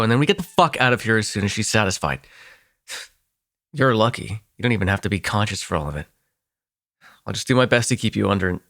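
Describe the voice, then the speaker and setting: Man acting a low-voiced, protective vampire. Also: a bit bright. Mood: agitated, exasperated. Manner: intimate, halting, swearing, sighing. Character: frantic, protective male vampire, foul-mouthed and sardonic. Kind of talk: ASMR audio roleplay acting.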